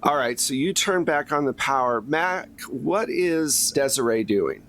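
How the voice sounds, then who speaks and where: A man's voice: medium pitch, lively intonation, a little slow, a bit bright. A man running a tabletop roleplaying game, improvising descriptive narration in a conversational way. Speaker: game master.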